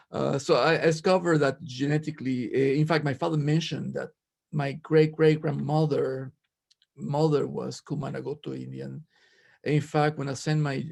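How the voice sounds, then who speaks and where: Gravelly older man presenting. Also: medium pitch, warm tone. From Venezuela. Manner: halting, many fillers and restarts. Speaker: conference presenter, ethnobotanist. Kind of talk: academic slide presentation.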